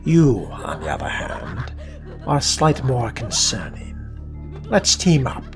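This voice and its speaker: A man role-playing a haughty, weary vampire fledgling in a video game, muttering sardonic asides in a deliberate, often whispery way. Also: mid-range voice, big pitch swings, gravelly, heavily acted. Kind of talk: in-character video game role-play commentary. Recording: music behind the voice.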